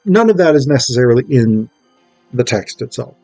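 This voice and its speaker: A man's voice: medium pitch, sing-song, normal speed, creaky, darkish tone, dry recording, faint music behind. A man giving a casual spoken review, enthusiastic and digressive, often thinking aloud as he goes. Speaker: amateur stage actor reviewing a play script.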